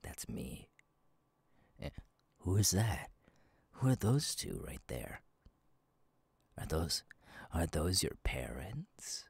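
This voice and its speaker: A man voicing a sadistic masked killer in an ASMR roleplay, husky and taunting, slow and halting, often dropping to a whisper. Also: low voice, big pitch swings, no echo, full character voice. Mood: gleeful, mocking. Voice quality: husky, gravelly. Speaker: voice actor, ASMR artist. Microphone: close, dry microphone.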